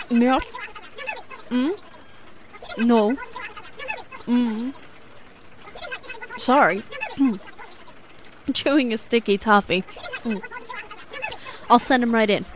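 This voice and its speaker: A woman playing a long-suffering, reluctant office receptionist in a comedy audio drama, with halting, unenthusiastic replies. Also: fairly high pitch, noisy background.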